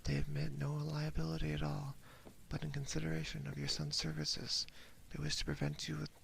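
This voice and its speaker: A man voicing an uneasy company messenger in a horror story, stiff, measured and apologetic. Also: a little high, completely flat delivery, creaky, slight background noise. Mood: formal, reluctant. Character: formal, uneasy male company messenger bearing bad news.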